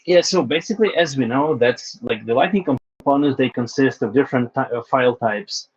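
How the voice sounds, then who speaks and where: A man from Ukraine walks developers through a technical topic on a video call, with loose phrasing and frequent fillers. His voice has a slightly husky edge at middle pitch. The call audio is a little dull and muffled. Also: a little fast. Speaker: guest speaker, Salesforce developer. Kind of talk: technical presentation.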